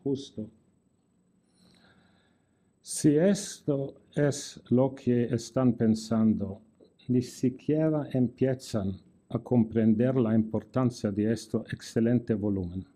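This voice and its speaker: Slow, dark-voiced older man lecturing. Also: medium pitch. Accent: Italian-tinged Spanish. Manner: deliberate, wry, restarts words in a non-native Spanish. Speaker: guest lecturer. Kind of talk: formal lecture with dry jokes.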